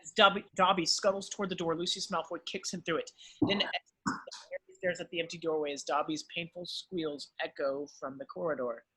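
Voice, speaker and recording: Male narrator, fairly high pitch, bright forceful tone, dramatic screenplay reading, stage directions. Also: fairly even intonation, fairly quick, husky, poor audio.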